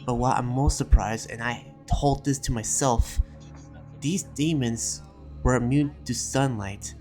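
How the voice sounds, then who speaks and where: A man voicing an earnest demon slayer who reads out his research journal in a reflective, halting way, as a dramatic roleplay monologue. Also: mid-range voice, a little mellow, natural voice. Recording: music behind the voice.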